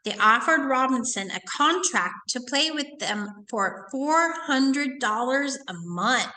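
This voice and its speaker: A woman's voice: sits high, expressive, takes it slowly, pushed and bright. A woman teacher reading aloud to children, stopping to ask questions and explain things in a didactic way. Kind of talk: read-aloud lesson for children with asides and questions.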